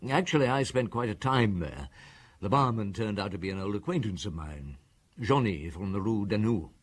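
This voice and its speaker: A man playing an unhurried French police inspector in an audio drama, courteous and ruminative as he questions and recounts. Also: on the low side, animated. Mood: relaxed, musing.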